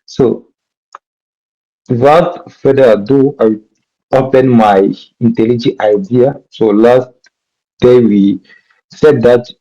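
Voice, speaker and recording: A man's voice: mid-range voice, slow, pauses often, warm tone, highs cut off. A man from DR Congo with a French accent, teaching a live coding workshop and often stopping to correct himself mid-sentence. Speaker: workshop instructor.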